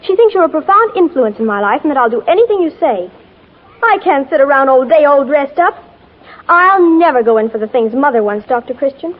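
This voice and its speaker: An actress playing a headstrong, slangy fifteen-year-old tomboy in an earnest, gushing 1940s radio drama style. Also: female voice, high-pitched, expressive, fairly quick, narrow, tinny audio. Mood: earnest, defiant.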